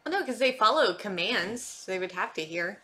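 A woman's voice: mid-range voice, lively intonation, a little fast. A woman in her thirties chatting casually as she reacts to a film, incredulous and exclamatory. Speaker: host reacting to a film with two boys. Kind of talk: casual movie reaction chat. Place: living room sofa. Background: film soundtrack.

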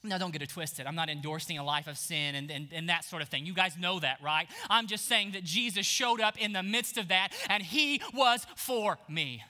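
A man in his thirties preaching an energetic sermon on a church stage, swinging from hushed lines to shouts. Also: sits high, moderate pace, hardly any pauses. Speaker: pastor.

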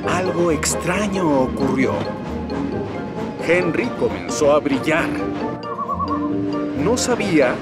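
A man with a Latin American Spanish accent narrating a children's story expressively. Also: on the high side. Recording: music behind the voice.

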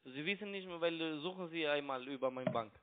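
A man with a slightly high voice speaks in broken German, his first language being Italian. He presses and mocks the person on the other end. The sound is thin and tinny, as over a phone line. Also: fairly quick, a crowd in the background. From Italy. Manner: broken German, pushy and mocking. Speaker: call center trading broker. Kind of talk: phone call.

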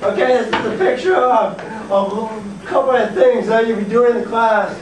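A man's voice: extremely high pitch, normal speed. A teenage boy giving a nervous, stumbling class presentation in a school classroom, recorded by a camera across the room. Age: teens. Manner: nervous, laughing, stumbling over words. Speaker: student presenter. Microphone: far camera. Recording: echoey classroom from far off, faint hiss.

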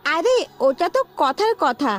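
A woman's voice: very high-pitched, very animated, unhurried, soft background music, heavily acted. A woman voicing a money-hungry young girlfriend in an amateur audio love-story drama. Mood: cold, scornful. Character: scornful, money-hungry young girlfriend, later begging forgiveness.

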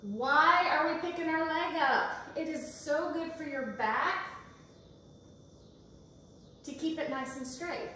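A woman's voice: high voice, takes it slowly, pauses often, pushed and bright, slight background noise. A woman in her forties leads a kitchen workout as a fitness instructor, counting reps and encouraging the viewer as she exercises. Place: large home kitchen, indoors. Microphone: camera across the room. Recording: echoey kitchen, voice from far off.

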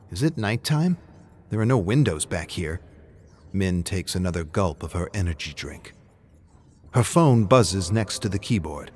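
A man narrates a scripted story in a dramatic style. His voice sits on the low side. A light bed of ambient noise runs behind it. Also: a little mellow.

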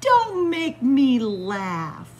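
A woman's voice: high-pitched, wildly swooping pitch, extremely slow, slight background noise, heavily acted. A woman voicing a boastful shark from a picture book, bragging and exclamatory. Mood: cocky. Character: boastful shark, jumpy about spiders. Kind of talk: picture-book read-aloud. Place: indoors in a library.

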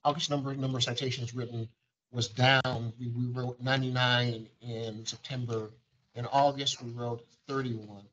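A man's voice: mid-range voice, flat delivery, moderate pace, fairly bright tone, slight background noise. A man reporting to a board in a formal meeting, with wordy, bureaucratic phrasing and frequent fillers, recorded on a table microphone in a large conference room. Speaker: agency administrator. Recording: dull lo-fi meeting audio.

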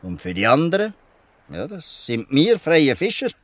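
An actor with a Swiss German accent playing a weary, good-natured old fisherman who explains things haltingly in a dialect audio drama. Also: fairly high pitch, wildly swooping pitch, no room sound. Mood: wry, resigned.

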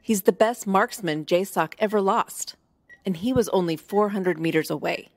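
A woman playing a cold, calculating military commander in an audio drama, stern, measured and condescending. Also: mid-range voice, no echo, lightly acted. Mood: probing, contemptuous.

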